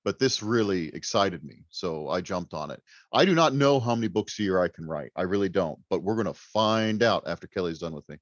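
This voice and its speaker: A man's voice: deep voice, lively intonation, a little fast, few pauses. A man chatting casually as a guest author on a podcast, wordy, self-deprecating and joking. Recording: roomy, slightly dull microphone sound.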